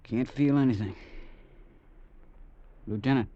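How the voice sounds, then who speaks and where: An actor playing a homesick, earnest young cavalry soldier with an American accent, plain and artless, in old-time radio drama. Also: male voice, medium pitch, slow. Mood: weak, earnest. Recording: dull old radio recording.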